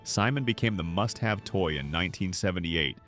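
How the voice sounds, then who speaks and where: A man with a fairly low voice delivers scripted narration in an earnest storytelling manner. His intonation swings up and down in an animated way, and music plays softly behind him. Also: fairly quick. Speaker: narrator of a nostalgia video.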